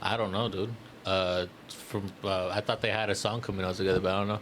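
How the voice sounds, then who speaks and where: A man's voice: low voice, level tone, fairly quick, fairly bright tone, slight background noise. A man co-hosting a podcast in casual, slangy conversation, often checking that others follow him. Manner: slangy, repeatedly seeks agreement. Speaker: podcast co-host. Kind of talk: casual hip-hop chat.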